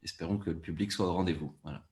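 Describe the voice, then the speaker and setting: Low-voiced man, hesitant panel speaker. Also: fairly even intonation, racing, darkish tone. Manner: hesitant, repeats words while formulating. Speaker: podcast producer, panel guest. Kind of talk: panel discussion.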